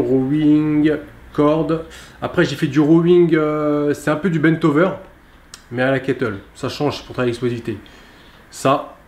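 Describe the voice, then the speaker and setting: A man gives a relaxed, rambling recap of his workout in a gym, with many filler pauses. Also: medium pitch, roomy sound. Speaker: fitness vlogger. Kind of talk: casual workout vlog recap.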